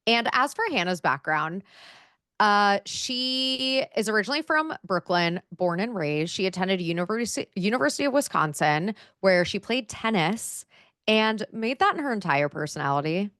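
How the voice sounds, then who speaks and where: A woman's voice: mid-range voice, lively intonation, moderate pace, fairly bright tone, smooth, dry recording, studio quality. A woman in her thirties co-hosting a podcast, chatting casually and reading through research notes with plenty of "um" and "like". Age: 30s. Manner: chatty, filler-heavy, with frequent "um" and "like". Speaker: podcast co-host.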